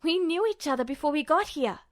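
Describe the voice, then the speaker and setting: A woman voicing an excited, impatient teenage boy in a story reading, blurting things out with emphatic insistence. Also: sits high, brisk. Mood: exasperated, insistent. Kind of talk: audiobook-style character dialogue.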